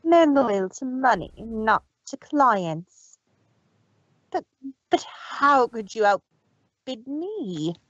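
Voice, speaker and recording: A man voicing refined, theatrical female cartoon ponies with drawn-out delivery in a fan fiction reading. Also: extremely high pitch, big pitch swings, fairly bright tone, dry recording, dull audio, pitched above the performer's own voice, exaggerated voice. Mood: shocked.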